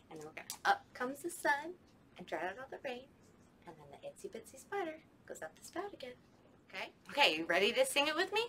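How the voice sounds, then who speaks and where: A woman's voice: sits high, a bit bright. A young woman, a children's librarian, leading a storytime with songs, talking to kids at home in a sing-song way in a library children's room.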